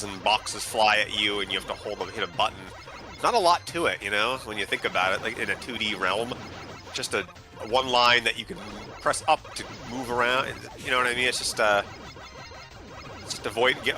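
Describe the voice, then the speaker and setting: Loud-talking man musing on a call. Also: mid-range voice. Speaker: guest on a stream call. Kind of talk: casual chat.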